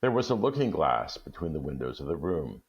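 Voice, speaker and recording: A man reading a classic short story aloud in a steady, measured way. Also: very deep voice, lo-fi sound. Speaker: guest reader.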